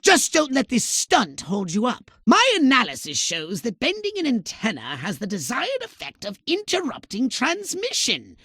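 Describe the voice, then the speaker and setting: Haughty little alien schemer, video game villain, very high nasal voice, sharp and bright, pompous, sardonic, theatrical. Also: male voice, huge pitch swings, moderate pace, gravelly, hardly any pauses, slightly rough, no echo, studio quality, full character voice. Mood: smug, calculating. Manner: pompous, verbose, sardonic, exclaiming dramatically. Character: haughty, scheming little alien commander, irascible mission handler. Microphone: close, dry studio microphone.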